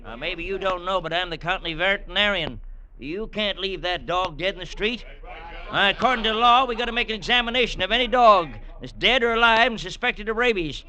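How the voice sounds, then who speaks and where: A high, piercingly bright small-town county veterinarian, bossy and officious. He lays down the law, old-time radio style. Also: a little fast. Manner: insistent, commanding, folksy. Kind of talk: 1950s radio drama acting.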